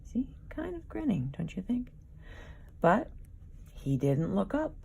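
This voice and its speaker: A woman author reading a children's story aloud with dramatic, expressive narration, pausing to engage young listeners. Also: medium pitch, creaky, slight background noise.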